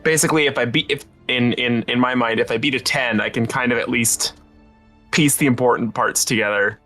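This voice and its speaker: Very low-voiced woman player. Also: fairly even intonation, a little fast, fairly bright tone, faint music behind. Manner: laughing, self-deprecating. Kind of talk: casual tabletop chat.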